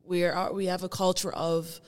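A young woman from the Netherlands, a guest performing artist, speaking reflectively and searching for her words with many pauses. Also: on the low side, flat delivery, big-room sound. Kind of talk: reflective conversation.

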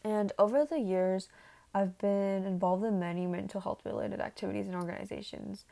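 A teenage girl who grew up in Taiwan speaks in an earnest, prepared way about her own life. Her voice sits at a middle pitch, and she pauses now and then with small fillers. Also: creaky, warm tone. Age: teens. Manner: earnest, slightly halting with fillers. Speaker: student speaker for a youth nonprofit. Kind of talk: prepared personal talk.

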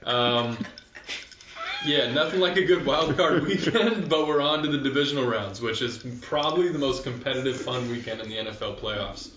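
A man's voice: mid-range voice, moderate pace, a crowd in the background. A man hosting a casual sports podcast, laid-back and crude in his joking ad reads. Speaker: podcast host. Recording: echoey room, dull lo-fi sound, faint hiss.

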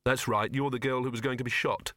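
A man's voice: mid-range voice, fairly even intonation, fast talker, a bit bright, no room sound, lightly acted. Polite young man, mild and dry-witted, read by a man.

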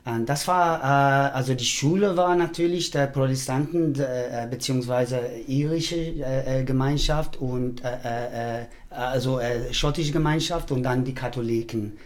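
A middle-aged man from Scotland with a Scottish accent, a podcast guest chatting casually in German, his first language English, pausing often and slipping into English words. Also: medium pitch. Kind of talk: casual chat.

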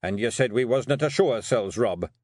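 A man's voice: medium pitch, animated, fairly quick, darkish tone, no room sound, clean studio sound, close to an everyday voice. Man doing emphatic little Scottish fairy warrior. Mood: earnest, grave. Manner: blurting, emphatic. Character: earnest, alarmed rough little fairy warrior. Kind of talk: audiobook character voice.

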